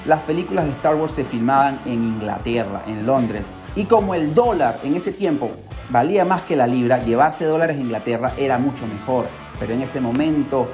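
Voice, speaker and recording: A man narrates as a podcast host, with music behind his voice. His delivery is casual and animated, like someone telling a tale to friends, peppered with rhetorical questions and asides. The pitch sits in an ordinary middle range. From Peru.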